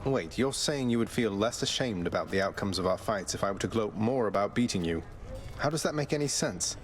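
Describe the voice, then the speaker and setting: An actor playing a wry, rebellious young prince of the Underworld in a video game. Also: male voice, on the low side, animated, fairly quick, close to an everyday voice. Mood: dry, challenging.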